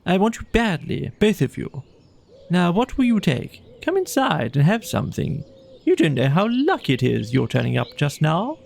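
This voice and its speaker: Man putting on an excitable high-pitched boastful toad. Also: normal speed, gravelly, heavily acted. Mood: delighted, eager. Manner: exuberant, pompous, emphatic exclamations. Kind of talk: children's audiobook character voice.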